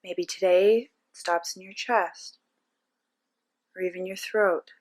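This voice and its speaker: A woman narrating a guided meditation as a teacher, giving gentle step-by-step instructions with frequent pauses. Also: lowish voice, steady tone.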